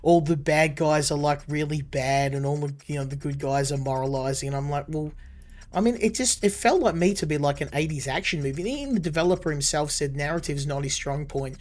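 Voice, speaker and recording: A man streaming a game and chatting casually, rambling and correcting himself, his voice still a little rough from a cold. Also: fairly high pitch, steady tone, brisk. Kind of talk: casual live gameplay commentary.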